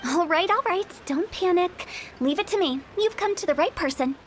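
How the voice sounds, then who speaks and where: A breezy, mischievous funeral director. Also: female voice, very high-pitched, animated, moderate pace, slight background noise. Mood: confident. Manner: breezy, confident, teasing. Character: mischievous young woman funeral director, playful and shrewd. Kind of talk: game voice acting.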